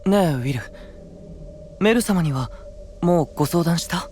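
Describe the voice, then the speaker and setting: A man playing a gentle, caring young friend of a knight in a Japanese fantasy voice drama, speaking softly and reassuringly. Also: fairly high pitch, expressive, a little slow, faint music behind. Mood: gentle, encouraging.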